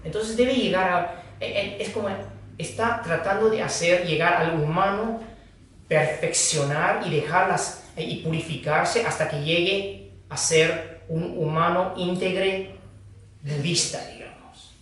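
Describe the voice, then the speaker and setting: Man, Buddhist teacher giving a talk, slow and halting, fairly high voice, echoey room, distant mic. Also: fairly bright tone. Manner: slow, halting, with pauses and fillers. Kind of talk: dharma talk. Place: hall of a foundation.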